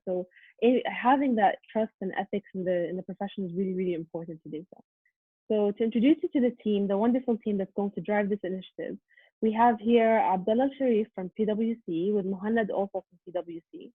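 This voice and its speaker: A woman giving a prepared, earnest presentation over a video call, steady with frequent um fillers. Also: mid-range voice, fairly quick, husky, dry recording. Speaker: conference presenter. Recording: tinny phone-like line.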